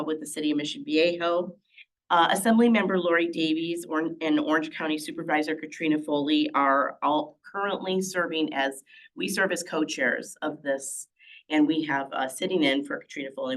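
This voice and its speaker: An older woman with a cold, clearing her throat as she formally opens a public task force meeting as its chair. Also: low voice, poor audio. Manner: steady, formal, with many ums. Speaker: city council member chairing a meeting. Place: meeting room.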